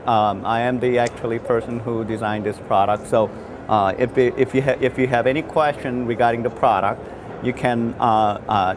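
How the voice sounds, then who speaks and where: A man with a mid-pitched voice, speaking English as a second language, presents a product in technical detail without a script. He talks haltingly, repeating himself and restarting sentences. The recording has clear background noise from a trade show floor. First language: not English. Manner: halting, repetitive, frequent false starts. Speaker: loudspeaker designer presenting his product. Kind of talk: unscripted technical product demonstration. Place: trade show exhibition space.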